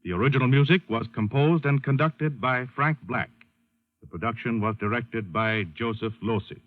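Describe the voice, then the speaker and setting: An American man reading scripted 1940s radio credits in a formal, measured announcer's style. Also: mid-range voice. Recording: dull old radio broadcast recording. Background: music.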